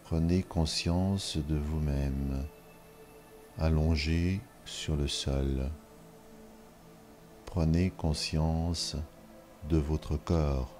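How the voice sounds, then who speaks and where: A man leading a guided relaxation meditation in short, measured instructional phrases with long pauses between them. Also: a bass voice, steady tone, warm tone, soft background music. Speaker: yoga nidra guide.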